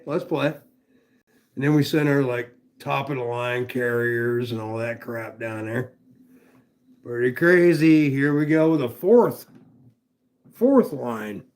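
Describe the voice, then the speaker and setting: A man's voice: mid-range voice, takes it slowly, long pauses. An older man hosting a casual livestream, rambling, folksy and profane as he reads comments. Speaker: livestream host.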